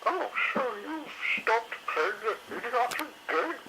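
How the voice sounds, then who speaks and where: A man voicing a fussy, grumbling female dinosaur in a high falsetto. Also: lively intonation, takes it slowly, full character voice. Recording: noisy recording.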